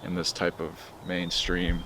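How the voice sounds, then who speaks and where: A young man narrating a commentary video in a measured, lecturing way. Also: low-pitched, completely flat delivery, pushed and bright, slight background noise.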